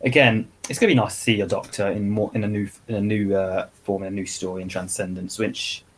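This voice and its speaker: A somewhat low-voiced man co-hosting a relaxed podcast. He hesitates and stumbles, repeating words before he gets a question out. His delivery is loose and unpolished. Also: quick pace. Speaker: podcast co-host. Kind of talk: casual podcast chat.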